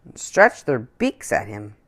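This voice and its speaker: A woman reading a children's picture book aloud in an expressive storytelling manner. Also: a bass voice, takes it slowly.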